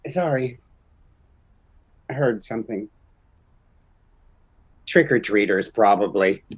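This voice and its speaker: A man playing a gentle, wistful elderly retired masked hero in a fan-made drama, halting and diffident. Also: on the low side, expressive, husky, heavily acted. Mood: shy.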